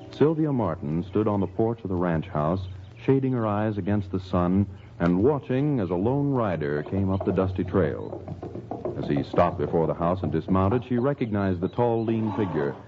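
Animated, low-pitched American radio narrator. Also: male voice, normal speed. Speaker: announcer and narrator. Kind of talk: scripted 1940s radio narration. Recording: dull old radio recording, music behind.

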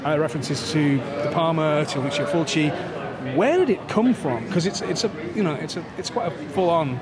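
An older man hosts a festival interview, rambling and restarting his sentences in a fairly high voice. A busy crowd chatters all around him as he speaks into a handheld mic. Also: animated, moderate pace. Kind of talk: casual interview. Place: festival media wall, indoors, busy.

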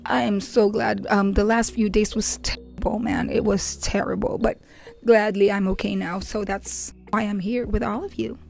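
A young woman hosting a reaction video, talking casually to the camera in a hoarse voice, playful and rambling, into a handheld microphone in a small dark room. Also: medium pitch, little pitch movement, normal speed, faint music behind.

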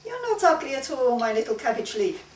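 A woman voicing an impatient, teasing male time-travelling painter in a story reading, animated and argumentative. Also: sits high, a little fast, pitched above the performer's own voice. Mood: teasing, stubborn. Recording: roomy, distant mic, faint hiss.

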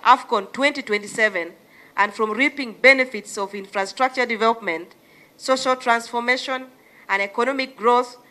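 A middle-aged woman reads a formal statement in a measured, declarative way at a desk gooseneck mic. Her voice is bright and a little high, in a committee room. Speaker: member of parliament reading a statement.

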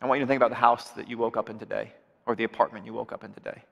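Earnest pastor in a reverberant hall. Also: male voice, mid-range voice, fairly even intonation, racing, creaky, dull audio. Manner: earnest, with frequent restarts and repeated words. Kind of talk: sermon appeal to a congregation. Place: dark church stage with screens. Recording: long reverb of a large hall.

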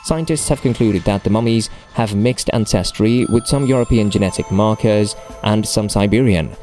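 A man's voice: lowish voice, normal speed, a little mellow, faint music behind. A man narrating a scripted documentary list as a voice-over, reading evenly.

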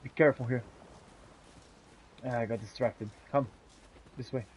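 Mellow man roleplaying through a fantasy game. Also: mid-range voice, fairly even intonation, normal speed, a little hiss or hum, rough recording. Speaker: gamer roleplaying a character in a video game. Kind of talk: in-character roleplay narration of fantasy gameplay.

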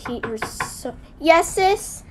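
A woman's voice: very high-pitched, animated, takes it slowly, background noise, heavily acted. A woman voicing a mean, spoiled, sneering older sister in an amateur game roleplay drama. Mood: scornful. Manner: snappy, scornful.

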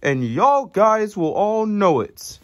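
A man's voice: high voice, very animated, slow pace, dry recording, clean studio sound, full character voice. A man voicing an eager, overly polite young woman helper in an improvised roleplay. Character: cheeky girl staff helper.

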